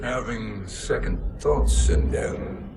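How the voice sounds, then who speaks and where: An actor playing a scheming, cold-blooded sorcerer in a video game, formal, menacing and condescending. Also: male voice, medium pitch, over music. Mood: coolly mocking. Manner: slow, measured, sinister and formal. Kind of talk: scripted video game cutscene acting.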